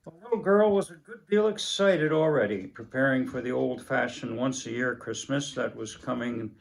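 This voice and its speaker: An older man in his seventies reading a story aloud in a halting manner, pausing between phrases. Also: medium pitch, gravelly, low-quality recording. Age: older, about 70s. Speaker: story reader. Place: indoors, book-lined room at a table.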